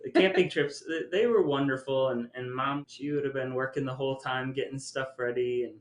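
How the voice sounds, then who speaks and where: A man's voice: medium pitch, fairly even intonation, a little fast. A middle-aged man hosting a casual podcast, reminiscing with a wry, lightly joking manner. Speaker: podcast host. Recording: roomy, echoey sound.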